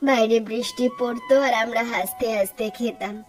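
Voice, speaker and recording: A woman's voice: a little high, steady tone, normal speed, recorded from a distance, soft background music, close to an everyday voice. A woman playing a caring, nostalgic middle-aged village mother in a Bengali cartoon story, with a wistful, homely way of talking.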